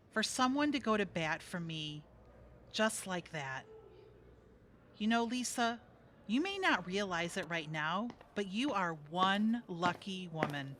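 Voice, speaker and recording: A woman's voice: mid-range voice, sharp tone, slight background noise. A woman playing a worldly, sarcastic jailbird in an audio theatre comedy, blunt, wry and mock-sympathetic. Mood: wistful, wry. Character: brash, sarcastic, worldly female jailbird needling her cellmates.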